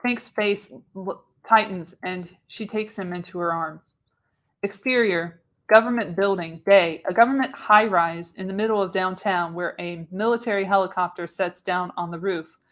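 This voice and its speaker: A woman's voice: lowish voice, moderate pace, a bit bright. A woman narrating screenplay stage directions for a live radio play, reading expressively with the occasional stumble. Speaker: narrator, show host.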